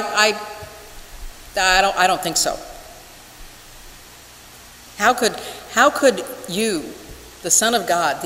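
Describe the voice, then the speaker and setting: Slow, low-voiced older woman preaching in church. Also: a bit bright. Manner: measured, deliberate, with emphatic pauses. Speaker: minister preaching. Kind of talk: church sermon. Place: large wooden church sanctuary, at the pulpit. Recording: echoey church hall with hiss.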